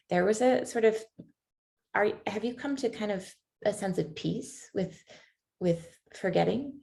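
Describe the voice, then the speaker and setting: A woman's voice: fairly low pitch, creaky, darkish tone. A woman in her late thirties interviewing a guest, thinking aloud and searching for words as she asks reflective questions. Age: late 30s. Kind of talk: reflective conversation.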